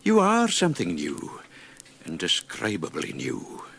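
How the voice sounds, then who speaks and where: An actor in a radio drama playing a seductive, gloating inner voice, speaking theatrically and savouring words with whispery emphasis. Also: male voice, deep voice, wildly swooping pitch, unhurried, creaky, fairly bright tone, slight background noise, full character voice. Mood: seductive, coaxing. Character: seductive, gloating inner voice goading a newborn creature.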